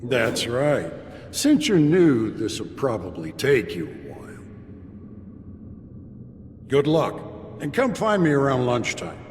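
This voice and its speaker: An actor playing an easygoing, reassuring senior worker in a fantasy game, giving casual instructions. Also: male voice, medium pitch, huge pitch swings, takes it slowly, long pauses, a little mellow, big-room sound, a little hiss or hum. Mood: breezy, instructive. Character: easygoing, reassuring male mining team senior at work. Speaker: voice actor playing a supporting character. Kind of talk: English game cutscene acting.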